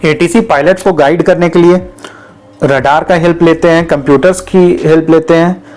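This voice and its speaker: A man's voice: a little high, fairly even intonation, moderate pace, darkish tone, faint music behind. A man hosting an educational video, explaining patiently in lists and repeating key points, mixing English terms into his Hindi. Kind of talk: explanatory lesson.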